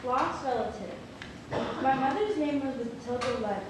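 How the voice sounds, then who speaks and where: In a very echoey hall with clear noise, a woman plays a freed daughter looking for her mother. She reads the notice haltingly from a distance, her voice fairly high. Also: lively intonation. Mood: plain, earnest. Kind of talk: dramatic reading of a historical ad.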